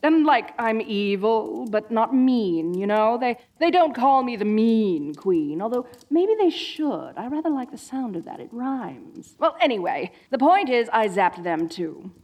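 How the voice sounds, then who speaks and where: Girl doing a dorky evil queen for a silly audio roleplay, highish voice, really slow and theatrical, wicked but secretly sweet. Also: sing-song, darkish tone, heavily acted. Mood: chatty, smug. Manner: theatrical, grandiose declarations slipping into bashful, awkward chatter. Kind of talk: comedic roleplay audio drama addressed to the listener.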